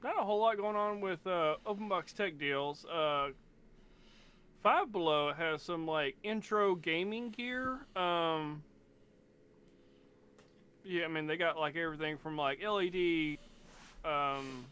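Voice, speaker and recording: A man's voice: fairly high pitch, takes it slowly, long pauses, bright, forceful tone, smooth. A man chatting casually as a podcast panelist, rambling a little as he searches for words. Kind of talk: casual chat.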